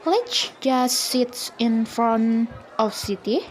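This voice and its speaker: A woman's voice: on the high side, slow. A young woman playing a friendly, teasing teenage schoolgirl in a student-made radio drama. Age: young adult. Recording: noisy amateur recording, background chatter.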